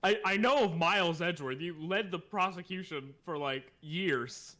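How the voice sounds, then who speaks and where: A man's voice: high-pitched, normal speed, pushed and bright. A man voicing a flustered, easily confused old male judge, stammering and hesitant, reading game dialogue aloud. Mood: befuddled. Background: game music.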